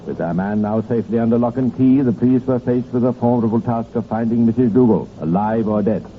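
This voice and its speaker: A British man narrating a 1950s radio crime drama in a deliberate, dramatic, knowing way. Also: medium pitch, close to an everyday voice. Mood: measured, wry. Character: measured, knowing male crime-case narrator. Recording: tinny, noisy 1950s radio recording.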